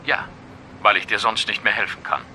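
An actor playing a mysterious, commanding informant in a German audio drama, cryptic and insistent. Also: male voice, fairly low pitch, fairly even intonation, fairly quick, pushed and bright, slight background noise. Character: mysterious, commanding male informant giving warnings.

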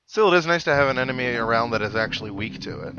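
Man with lively pitch commentating on a game. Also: fast, lo-fi sound. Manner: rambling, thinking aloud, mild exclamations. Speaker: let's play host. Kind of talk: casual video game commentary. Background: video game music.

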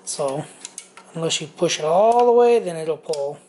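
A man's voice: on the high side, lively intonation, a little slow, a little mellow. A middle-aged man reviewing a power tool in his home garage workshop, rambling loosely with many ums and restarts. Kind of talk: casual tool review vlog.

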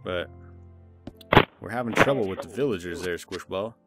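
A man hosting a casual let's play, excitable and jokey, yelling at game events. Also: deep voice, moderate pace. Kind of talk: casual gameplay chat. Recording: loud game music behind voice.

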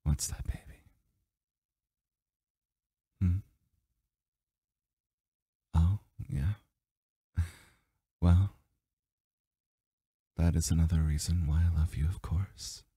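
A man's voice: low-pitched, fairly even intonation, slow pace, long pauses, warm tone, dry recording, studio quality, full character voice. A man voicing a doting, teasing boyfriend in an intimate ASMR roleplay, murmuring breathily and often slipping into a whisper. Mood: playful, teasing. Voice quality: breathy, husky, gravelly. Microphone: close microphone, dry.

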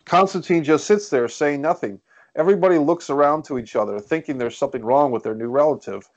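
A man's voice: a little high, fast talker, lo-fi sound. A man reading stage directions as scripted narration at a screenplay table read.

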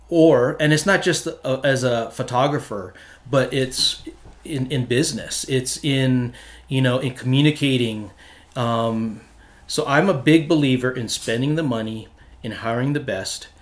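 A middle-aged man from Hawaii, a photographer, answering interview questions earnestly with many restarts, in a small studio room at a desk mic. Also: mid-range voice. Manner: earnest, talks with his hands, many restarts. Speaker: photographer guest. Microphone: desk mic on stand.

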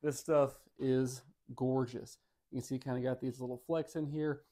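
A man's voice: medium pitch, little pitch movement, normal speed, warm tone. An American man in his thirties giving a casual, upbeat product review and demo to camera in an easygoing, folksy way. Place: home dining room and kitchen.

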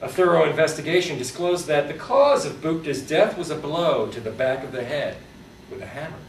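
Male author, theatrical and wry horror storyteller, lively pitch movement, echoey live room with faint noise. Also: a little fast. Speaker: author reading his own fiction. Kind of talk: dramatic live reading of short horror fiction. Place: live reading at a convention, indoors. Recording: roomy, distant live recording with background noise.

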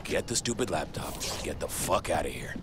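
A man's voice: sits low, animated, fairly quick, heavy background noise. A man playing a sardonic survivor hero in a zombie video game, muttering wryly. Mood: wary muttering. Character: wry adult male zombie-apocalypse survivor hero.

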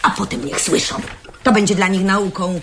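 An actress playing a bossy, self-righteous middle-aged bourgeois aunt in a radio drama, scolding and fussy. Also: female voice, medium pitch, fairly even intonation, normal speed, vocal fry, natural voice. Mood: scolding, moralizing. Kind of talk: 1970s Polish theatrical radio drama acting. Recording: noisy 1970s radio play recording.

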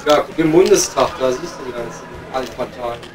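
A man's voice: mid-range voice, fairly quick, darkish tone, natural voice. A man playing a grumbling, womanising coal miner with a Ruhr German accent, rambling wryly in an improvised comedy audio play. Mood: wry banter. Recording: slightly distant mic, music behind.

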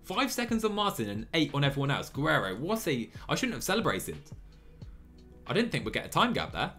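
A young man in his twenties streaming a cycling management game from a small room on a headset, chatty and excitable, laughing often while reading chat. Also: fairly high pitch, a little fast, faint music behind. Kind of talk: live gameplay commentary and chat reading.

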